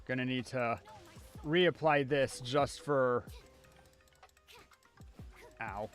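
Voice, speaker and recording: Guy streaming a game, mid voice, slow unhurried talk, kinda muttering to himself, music in the background. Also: medium pitch. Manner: casual, muttering self-talk while playing. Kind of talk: live video game commentary.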